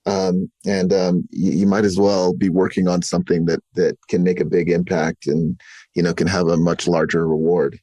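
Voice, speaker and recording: A man hosting a podcast, chatting in a relaxed way, full of "you know" and fillers. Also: deep voice, normal speed, no room sound. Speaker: podcast host. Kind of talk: casual interview chat.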